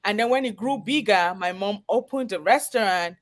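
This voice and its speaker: A woman's voice: medium pitch, moderate pace. A woman with a Cameroonian accent telling her personal story as a guest in a live interview, earnest and conversational. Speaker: guest, chef and business owner.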